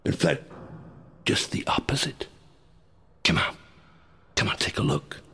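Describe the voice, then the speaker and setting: A man voicing a seedy American huckster bird with a gravelly husky rasp, cajoling and menacing in slangy hard-sell patter for an audio drama. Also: medium pitch, a little slow, sharp tone, voice pushed up, exaggerated voice. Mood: sly, coaxing.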